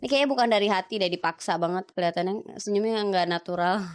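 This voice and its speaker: A woman's voice: fast, smooth. A woman in her thirties from Medan, speaking with a Medan Indonesian accent. She chats casually and animatedly as an interview guest. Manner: chatty, animated, jumps pitch when excited. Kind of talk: casual chat.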